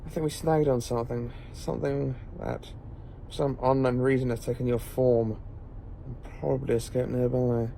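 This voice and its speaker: A man's voice: medium pitch, little pitch movement, normal speed, creaky, dark tone, a little hiss or hum, lightly acted, low-quality recording. A man playing an eccentric, curious time-travelling professor in an audio drama, wry and musing. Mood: uneasy, musing. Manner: wry, musing, British idiom.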